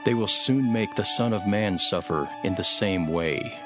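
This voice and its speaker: An actor playing an authoritative, earnest holy teacher in a dramatised scripture recording. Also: male voice, fairly low pitch, a little slow, natural voice. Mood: stern. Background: music.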